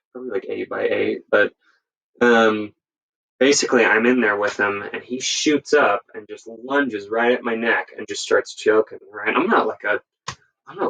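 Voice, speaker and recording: A man casually telling work stories as a guest, in a halting, informal way and often correcting himself. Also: on the low side, a bit bright, poor audio. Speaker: guest, emergency medical worker sharing stories. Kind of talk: casual storytelling.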